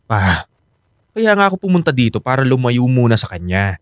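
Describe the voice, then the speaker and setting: An actor playing a troubled, defensive young Filipino husband and son in a radio drama. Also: male voice, mid-range voice, big pitch swings, brisk, no room sound, lightly acted. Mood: weary, reluctant. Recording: studio drama with clear music bed.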